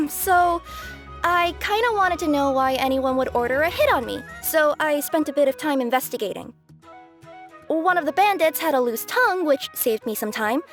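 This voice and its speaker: A woman's voice: sits high, animated, moderate pace, faint music behind. A woman voicing an earnest young cat spirit courier in a video game, apologetic, hesitant and stammering. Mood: reluctant. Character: young female cat yokai courier, earnest, flustered, eager. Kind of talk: video game character acting.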